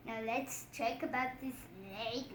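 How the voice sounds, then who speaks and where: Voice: medium pitch, fairly even intonation, a little slow, fairly bright tone. A child improvising a puppet show, theatrically acting out the characters with exclamations. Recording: echoey room with some background noise.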